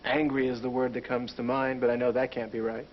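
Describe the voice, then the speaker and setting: An actor playing a troubled, self-critical husband who broods and questions himself, in a soap opera. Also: male voice, mid-range voice, level tone, brisk, a little hiss or hum, natural voice. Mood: brooding. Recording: dull lo-fi TV recording.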